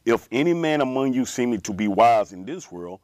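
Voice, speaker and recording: A man slowly reading scripture aloud during a religious class. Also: mid-range voice, animated, a little mellow, no echo, studio quality. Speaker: scripture reader.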